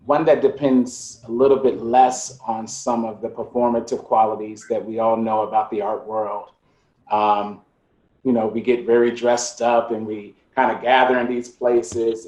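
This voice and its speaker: A man speaking as a curator on a video-call panel, reflective and measured as he builds his points step by step. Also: mid-range voice, darkish tone. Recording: echoey room on a video call.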